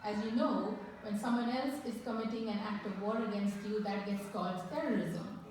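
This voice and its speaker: A woman's voice: medium pitch, steady tone, distant mic. Woman lecturing in an echoey hall. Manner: measured, thoughtful lecturing with rhetorical questions. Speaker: panelist. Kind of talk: academic conference talk. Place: conference hall. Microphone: microphone that cut out mid-talk.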